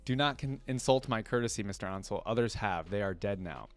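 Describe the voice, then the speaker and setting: A man voicing an imperious, coldly courteous woman interrogator in a storybook read-aloud, speaking formally with measured menace. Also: on the low side, expressive, natural voice. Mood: cold, threatening.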